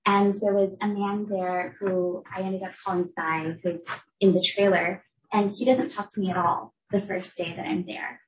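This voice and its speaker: A young woman from the United States giving an academic talk as a researcher, explaining in a clear, measured way. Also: mid-range voice, level tone, muffled recording. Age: young adult. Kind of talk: academic lecture. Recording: echoey room, voice from a distance.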